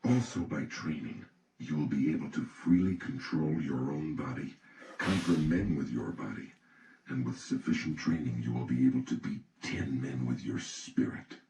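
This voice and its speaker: A man reading an audiobook from a script in a solemn, deliberate, instructional way. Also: very deep voice, vocal fry, mellow. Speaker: audiobook reader. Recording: roomy, distant mic, faint noise.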